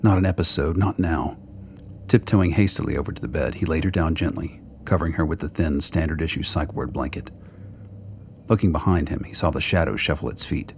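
A man with a gravelly voice reading scripted dramatic story narration. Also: a bass voice, a little fast, slight background noise.

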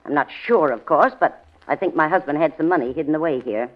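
An actress playing an anxious, suspicious widow in a 1940s radio drama. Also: female voice, deep voice, fast. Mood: worried, suspicious. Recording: dull old 1940s radio recording.

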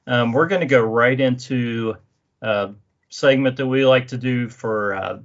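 A man's voice: medium pitch, unhurried. Rambling podcast host man. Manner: rambling, many fillers and restarts. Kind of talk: casual podcast chat. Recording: dull lo-fi audio.

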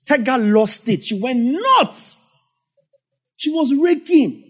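A man's voice: very high-pitched, animated, slow pace, fairly bright tone, husky. A man with a Nigerian English accent preaching a sermon as a pastor, telling the story in a conversational way with asides.

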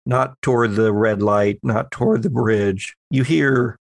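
A man working as game master, narrating a tabletop horror game in halting, suspenseful descriptions. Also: medium pitch, creaky, no echo.

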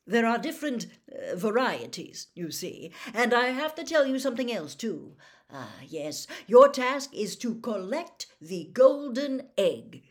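A woman narrator puts on a middle-aged male official from a storybook. He is loud, jovial and hearty. He talks eagerly and chummily, like a conspirator offering favours. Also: a little high, sing-song, measured pace, voice pushed up. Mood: hearty, bustling. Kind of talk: audiobook character acting.

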